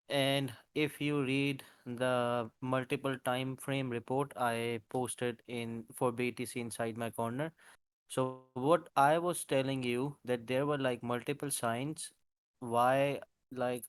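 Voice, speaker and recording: A man's voice: mid-range voice, clean tone, no room sound. A man casually explaining market charts on a live call, talking hesitantly with many fillers and restarts. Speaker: crypto market analyst presenting charts. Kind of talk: live-streamed chart walkthrough.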